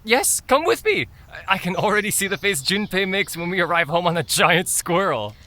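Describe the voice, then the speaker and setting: Actress as young brother, low bright voice, lively intonation, earnest, hesitant, audio drama. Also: female voice, a little fast. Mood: amazed. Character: unsure but excitable young brother, Junie.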